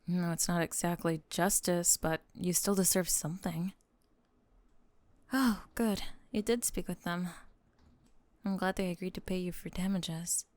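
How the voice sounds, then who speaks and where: A woman playing a doting, possessive lamia college roommate in an ASMR roleplay, speaking softly and coaxingly, close to the microphone. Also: medium pitch, no echo, natural voice. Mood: soothing concern. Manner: coaxing, doting, breathy pauses with sighs and soft giggles. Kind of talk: ASMR roleplay acting, spoken to the listener. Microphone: close microphone in a dry space.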